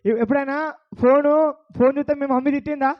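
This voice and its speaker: A man's voice: very high voice, fairly even intonation, quick pace, dull audio. A man hosting a kids' bible puppet show, asking the children questions over and over.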